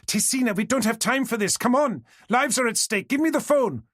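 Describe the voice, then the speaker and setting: A man voicing a determined young woman who escaped captivity, speaking urgently and forcefully, often at a shout. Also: a little high, brisk, no echo, clean studio sound, pitched above the performer's own voice, lightly acted. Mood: shouting, desperate.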